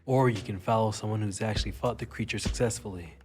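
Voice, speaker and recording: A man's voice: fairly low pitch, fast, darkish tone, big-room sound, close to an everyday voice. A man playing a cocky, wry, battered cyberpunk infiltrator in an audio drama, both narrating and speaking in character. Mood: wry, defiant. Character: cocky wounded tailed infiltrator, wry cyberpunk action hero. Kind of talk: audio drama dialogue with first-person narration.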